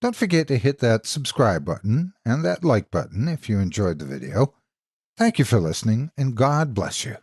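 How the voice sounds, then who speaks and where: A man narrating a scripted dramatic story in a measured, expressive storytelling manner. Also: mid-range voice, husky, dry recording, studio quality.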